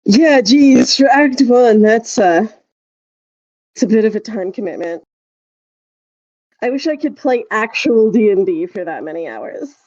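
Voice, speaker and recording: Slow, mellow woman co-hosting a podcast. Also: on the high side, lively intonation, dry recording, dull audio. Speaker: podcast co-host. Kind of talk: casual podcast chat.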